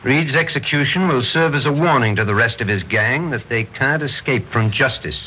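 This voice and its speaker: An actor playing a brusque, self-righteous military major who runs a vigilante committee in an old-time radio drama. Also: male voice, medium pitch, moderate pace, close to an everyday voice. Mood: firm, reassuring. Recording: dull, noisy old radio recording.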